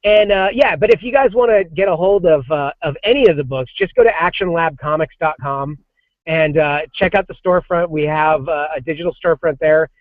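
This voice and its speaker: A man in his forties talks casually, with frequent fillers, false starts and self-corrections. His voice sits a little higher than most men's. The recording is dry and dull, with a lo-fi sound. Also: fairly quick, dry recording. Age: 40s. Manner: rambling, many restarts and fillers. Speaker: comics creative director, guest. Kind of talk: casual podcast interview.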